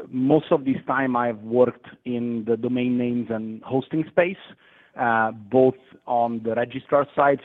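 A man from Italy with an Italian accent, a guest on a radio interview, speaking hesitantly with many fillers and restarts. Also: medium pitch. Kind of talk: phone interview. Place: London. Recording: tinny phone line.

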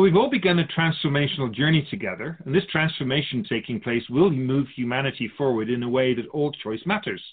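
A man's voice: mid-range voice, phone-quality sound, natural voice. A man playing an otherworldly keeper of souls in a radio drama, a wise, teasing mentor who muses theatrically in rhetorical questions. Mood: musing. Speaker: spirit guide in a radio drama.

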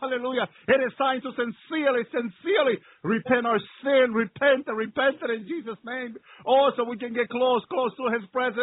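A man's voice: very high voice, no echo, phone-quality sound. A male preacher giving a fervent, off-the-cuff sermon that slips into prayer, repeating phrases and punctuating them with exclamations of praise.

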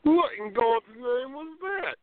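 A man's voice: extremely high pitch, expressive, slow pace, no room sound, narrow, tinny audio, heavily acted. An actor playing an awkward, bemused younger man in a radio play, hesitant and incredulous.

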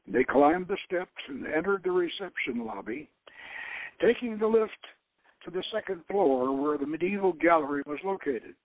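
A man's voice: high voice, animated, normal speed, no room sound. A man giving a dramatic reading of a novel as narrator, stumbling now and then. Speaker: host reading own novel aloud. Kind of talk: dramatic audiobook-style narration. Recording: dull, lo-fi radio sound.

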